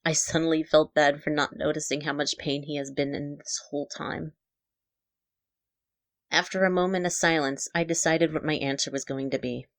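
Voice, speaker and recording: A woman narrating a scripted first-person dramatic story in a measured, reflective way. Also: low voice, steady tone, dry recording, studio quality. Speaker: podcast writer and narrator.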